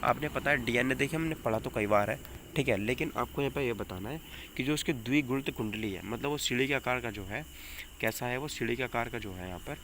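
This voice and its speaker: A man's voice: mid-range voice, fairly bright tone. A man with a North Indian accent teaching an exam coaching lesson, repeating questions and answers for emphasis and asking rhetorical questions. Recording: noticeable background noise.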